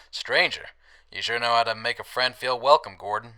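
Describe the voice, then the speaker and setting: A man's voice: mid-range voice, moderate pace, bright, forceful tone, clear, resonant tone, lightly acted. A man playing a wry, breezy scrap trader in a post-apocalyptic radio drama, bantering and cocky. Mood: breezy, teasing.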